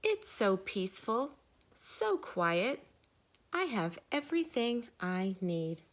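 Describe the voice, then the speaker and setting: A woman voicing a grumpy male duck who fiercely guards his quiet pond, in an emphatic storybook read-aloud. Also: mid-range voice, sing-song, takes it slowly. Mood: contented. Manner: emphatic, indignant exclaiming.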